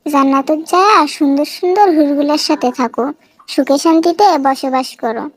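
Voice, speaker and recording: Haughty, very high-pitched village girl, played by a woman. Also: fairly quick, lightly acted. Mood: mock innocent. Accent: northern Bangladeshi. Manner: scolding, domineering, threatening. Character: haughty, bullying young village chairman's daughter. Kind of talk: comic cartoon dialogue.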